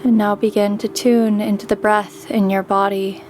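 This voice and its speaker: A woman leading a guided meditation with calm, gentle instruction and pauses between phrases. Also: mid-range voice, slight background noise. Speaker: meditation guide, yoga teacher. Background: background music.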